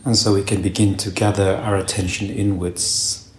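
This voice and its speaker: A man leading a guided meditation with calm, gentle, unhurried instructions and long pauses between short phrases. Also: deep voice. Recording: roomy echo, faint background noise.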